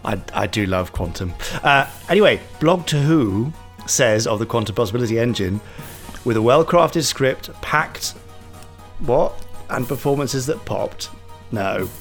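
A man reads listener reviews aloud with playful, mock-grand emphasis and a lively, swinging pitch. Soft music plays faintly in the background throughout. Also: measured pace. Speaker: podcast co-host. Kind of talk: casual banter reading reviews.

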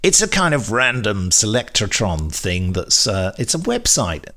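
A man's voice: lowish voice, very animated, measured pace, sharp tone. A man presenting a podcast in a chatty, jokey, self-mocking and digressive way. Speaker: podcast host.

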